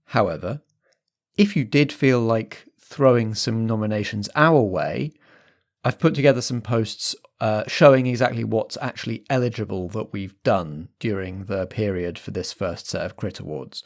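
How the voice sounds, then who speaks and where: A man's voice: lowish voice, moderate pace, gravelly. A man hosting as a podcast compere, giving chatty announcements with a theatrical, familiar way of addressing listeners.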